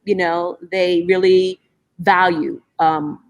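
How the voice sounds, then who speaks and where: A woman's voice: fairly low pitch, steady tone, slow pace. A middle-aged woman from Brooklyn, a stage manager, chatting as a guest over a video call and leaning on fillers as she talks. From Brooklyn, New York, USA. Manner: conversational, leans on "you know" and "um". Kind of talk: casual interview chat.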